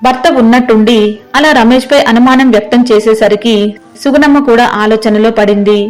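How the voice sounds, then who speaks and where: A woman narrates a scripted story in a fairly high voice. She tells it as a storyteller, with music playing faintly behind her. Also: steady tone, brisk.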